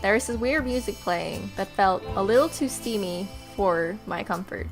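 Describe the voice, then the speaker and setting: A woman's voice: mid-range voice, normal speed. A young adult woman hosting a let's play, reading visual novel text aloud expressively with casual, sarcastic reactions. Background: game music.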